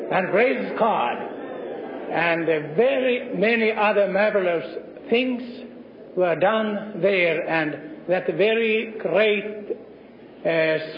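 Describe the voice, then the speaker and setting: A man from Finland with a Finnish accent, an assistant pastor giving a halting, earnest religious testimony. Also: high-pitched. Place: large reverberant meeting hall. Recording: muffled, echoing hall recording, faint noise.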